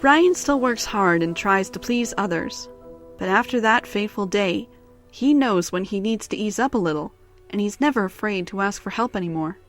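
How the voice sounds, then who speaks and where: A woman's voice: medium pitch, animated, normal speed, soft background music. A woman giving scripted story narration as the narrator of an audio drama.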